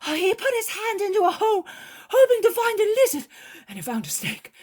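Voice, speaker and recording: A woman voicing an excitable male fisherman who talks out of breath and with urgency. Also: very high voice, fairly quick, voice pushed up. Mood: urgent. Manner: urgent, agitated.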